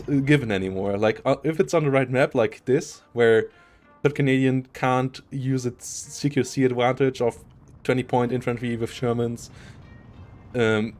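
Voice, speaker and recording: A man's voice: medium pitch, slight background noise. A man casting a live strategy game match, analytical and chatty, laughing often. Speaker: esports caster.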